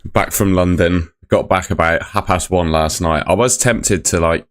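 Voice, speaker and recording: A young man hosting a casual live football review, his voice croaky from shouting the night before, chatty and rambling as he reads out chat messages. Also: sits low, moderate pace. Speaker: fan channel host.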